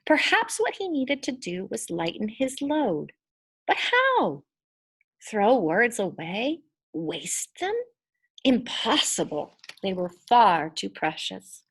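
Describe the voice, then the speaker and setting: A woman reading a children's story expressively, with deliberate, dramatic emphasis on her words. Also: on the high side, sing-song, a bit bright, breathy edge.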